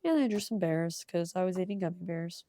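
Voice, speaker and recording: Young woman, slightly hoarse from a cold, low-ish mellow voice, casual rambling talk. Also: expressive, brisk, no room sound, clean studio sound. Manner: casual and rambling, with frequent ums and soft laughs. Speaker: art channel creator. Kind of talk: casual sketchbook tour voice-over.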